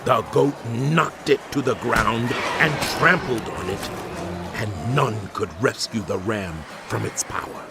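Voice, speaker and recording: A man's voice: mid-range voice, slow, gravelly, loud music behind the voice. A man playing a troubled prophet, recounting visions in solemn, dramatic scripture narration. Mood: intense, dramatic.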